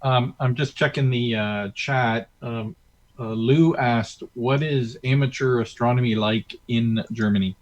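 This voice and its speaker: A man moderating questions in an online meeting, talking informally and haltingly. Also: medium pitch, dull audio. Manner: hesitant, halting. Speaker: question moderator at an astronomy club.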